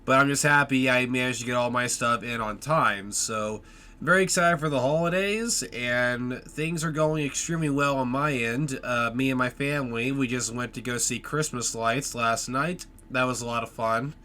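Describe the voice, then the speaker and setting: A man with a bright, sharp-edged voice hosts his own podcast, chatting cheerfully. His nose is stuffed up from a cold, so he sounds nasal, and his talk is full of fillers and little restarts. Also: mid-range voice, moderate pace. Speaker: podcast host. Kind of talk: casual solo podcast talk.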